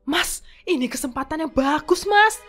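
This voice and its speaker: A woman voicing a greedy young wife in a cartoon drama, talking softly and slyly, with music behind her. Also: high-pitched, expressive, moderate pace. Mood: scheming. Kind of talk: animated cartoon voice acting.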